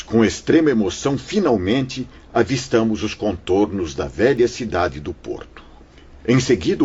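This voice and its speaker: A man's voice: lowish voice, a little mellow, slight background noise. A man playing a kindly, earnest spirit missionary recounting his journey in an audio drama, solemn and emotive. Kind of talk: audio drama acting, storytelling. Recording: dull audio with background music.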